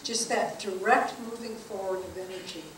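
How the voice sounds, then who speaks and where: A woman's voice: medium pitch, a little hiss or hum. Earnest woman teacher in echoing hall. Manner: measured and earnest, slowing on key phrases. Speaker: spiritual teacher. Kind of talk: spiritual talk to an audience. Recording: echoey room from a distant mic.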